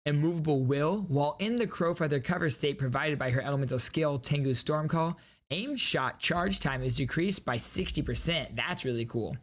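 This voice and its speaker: A man's voice: a little high, moderate pace. A man hosting a gaming channel, giving enthusiastic, casual reaction commentary and reading out game details. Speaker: gaming YouTuber host.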